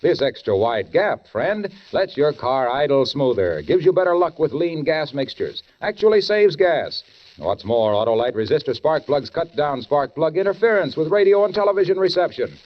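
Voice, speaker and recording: A man's voice: a little high, animated, normal speed. A man doing folksy, theatrical commercial patter as a 1940s radio announcer. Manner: folksy, theatrical salesman delivery. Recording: muffled 1940s radio recording.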